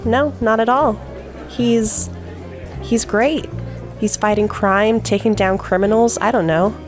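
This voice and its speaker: Actress as a confident young socialite, music behind. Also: female voice, fairly high pitch, slow. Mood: earnest, admiring. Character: lively, intelligent young socialite businesswoman, confident and friendly. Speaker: voice actor. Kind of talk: audio drama acting.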